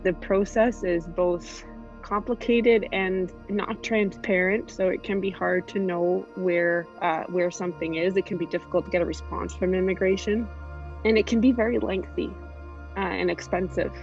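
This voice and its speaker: Brief: woman lawyer, lowish gravelly voice, dull lo-fi recording. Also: steady tone, moderate pace, darkish tone, faint music behind. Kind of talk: explanatory interview.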